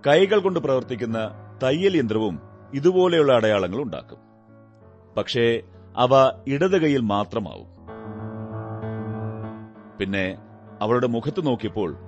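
Radio drama detective: observant, condescending, deliberate and instructive, with animated, swooping intonation. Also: male voice, medium pitch, faint music behind. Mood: amused, explaining. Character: brilliant, self-assured male detective, observant and condescending.

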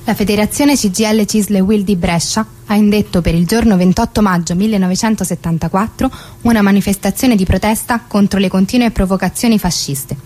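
An actress playing a formal, measured narrator who reads stage directions and bulletins in a radio drama. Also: female voice, mid-range voice, fairly even intonation, darkish tone, natural voice. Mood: formal, neutral. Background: faint music.

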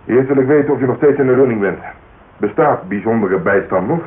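Actor as a gruff old-school detective, tinny radio sound. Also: male voice, mid-range voice, expressive, a little fast, lightly acted. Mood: teasing, probing. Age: middle-aged. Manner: blunt, grumbling, needling. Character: gruff jaded old-school police detective, teasing and blunt. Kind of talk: naturalistic radio drama dialogue.

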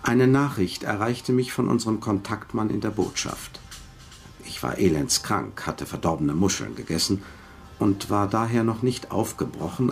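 A washed-up spy in a German radio drama, with a very low, gravelly voice. He is bitter and set on revenge, telling his story with weary gravity and a widely moving melody.

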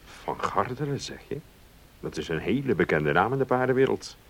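An actor playing a genial, curious well-to-do gentleman in a Dutch radio play, speaking in a measured, polite way. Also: on the low side, expressive. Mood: pleasant, musing.

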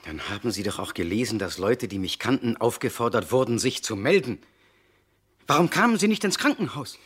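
A man's voice: medium pitch, animated, brisk, fairly bright tone, slightly rough. An actor playing a troubled, insistent accused man in a crime radio drama. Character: accused male driver Latour, troubled, insistent, indignant.

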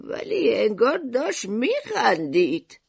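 An old lady for a storybook. She is high and very animated, with slow, sighing, wistful phrasing. The recording is dry and slightly dull. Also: vocal fry, husky, no echo, exaggerated voice. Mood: doubtful. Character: frail old woman customer, amazed and wistful.

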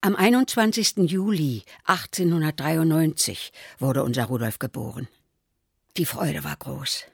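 An elderly mother in a radio play, looking back on her son in a measured, matter-of-fact way. Her low voice is gravelly and husky, recorded very dry. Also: no echo, full character voice. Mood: sober recollection. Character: aging mother soberly recalling her sickly, troubled son.

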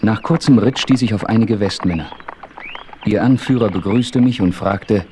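A man plays a calm, self-assured western adventurer telling his own tale. The voice is low, and the delivery is measured and composed, in the manner of a dramatic radio play. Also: slight background noise, lightly acted.

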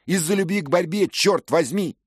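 A man's voice: high voice, normal speed, breathy edge, no echo, clean studio sound, pitched above the performer's own voice. A male reader voicing a proud young noblewoman who angrily accuses a young knight. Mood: scornful, furious. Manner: scornful, accusing. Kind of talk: audiobook character reading.

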